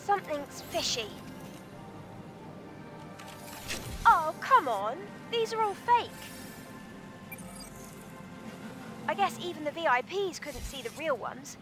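An actress playing a bubbly, curious young female tech companion in a video game, perky and expressive. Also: high voice, moderate pace, over music. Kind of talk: English video game voice acting.

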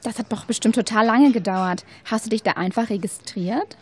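A woman's voice: on the high side, normal speed, breathy edge. A young woman playing a curious, open-minded university student in a scripted educational audio drama, with neat, rehearsed conversational delivery. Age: young adult. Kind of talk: scripted educational radio-play dialogue.

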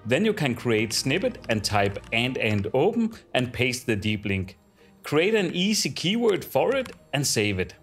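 An upbeat man walks through a software trick with a lively, bouncing delivery. Faint music plays behind him. He sounds playful rather than formal. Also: mid-range voice, measured pace. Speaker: technical community manager. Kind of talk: scripted product tip.

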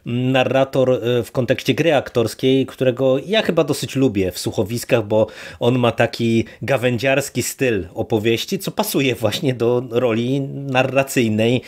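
A man's voice: medium pitch, unhurried, few pauses. A man co-hosting a podcast, discussing a review casually in wordy, hesitant, circling phrases. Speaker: podcast co-host.

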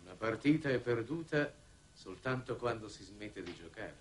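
A suave, calculating male diplomat played by an actor with a lowish voice and formal, assured delivery. It is an old television drama soundtrack, slightly noisy and off the mic. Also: expressive, moderate pace, far from the mic, lightly acted. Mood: cool, assured. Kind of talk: 1960s Italian television drama acting. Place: television studio set.

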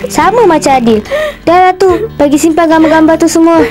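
High-pitched, motherly big-sister girl. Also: brisk, darkish tone, soft background music. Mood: scolding. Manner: earnest, scolding, motherly. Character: caring, bossy big sister, a young girl. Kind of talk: cartoon voice acting.

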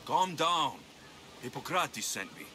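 A man's voice: fairly high pitch, lively intonation, takes it slowly. An actor playing a young, determined and curt male Greek mercenary adventurer in a video game. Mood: determined, probing. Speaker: playable protagonist. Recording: music under the voice. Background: background music.

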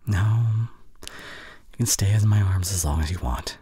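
A man playing a tender, anxious young boyfriend in an ASMR audio drama, speaking intimately and haltingly with breathy sighs. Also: deep voice, mellow, no room sound. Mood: tender, reassuring.

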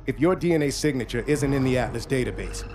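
An actor playing a serious young military technical specialist, matter-of-fact, in a video game briefing. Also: male voice, medium pitch, fast talker, lightly acted. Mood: grim, factual.

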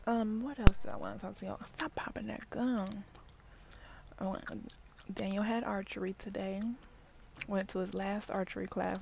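A woman, chatting casually in a parked car for an ASMR video, often dropping to soft whispers, recorded on a wired earbud mic. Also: mid-range voice, gravelly. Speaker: ASMR vlogger. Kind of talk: ASMR gum-chewing car chat. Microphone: wired earbud mic held near mouth. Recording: faint background noise, mouth clicks.

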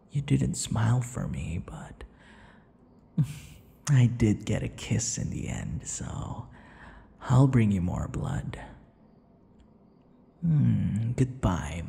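Man acting a slow, gravelly, possessive vampire lover. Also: dark tone, in a large hall, full character voice. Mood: mocking affection. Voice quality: low, gravelly. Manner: slow, breathy murmurs dropping into whispers, mocking endearments. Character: possessive, teasing male vampire lover, smug and menacing. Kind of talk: ASMR audio roleplay.

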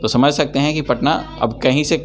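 A man's voice: mid-range voice, fairly even intonation, a little fast. A young man from Bihar hosting a casual food vlog, interviewing diners in a small restaurant with a handheld mic. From Bihar, India. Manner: chatty, asks short friendly questions. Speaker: food vlogger. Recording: music playing behind.

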